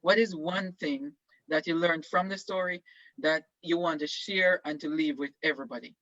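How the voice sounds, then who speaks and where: A man teaches a class over a video call with a bright, fairly high voice. He encourages his students and keeps asking them questions, filling pauses with "um". The audio is dry and a bit lo-fi. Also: no room sound. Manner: encouraging, questioning, many fillers. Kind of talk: leading an online class discussion.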